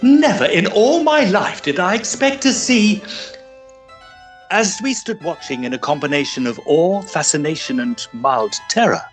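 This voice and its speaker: Man playing a high-voiced, excitable Edwardian gentleman. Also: unhurried, soft background music. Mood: dramatic storytelling. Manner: stammering, earnest, old-fashioned phrasing. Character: excitable, easily flustered Edwardian gentleman ghost hunter and narrator. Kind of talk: tongue-in-cheek period audio drama.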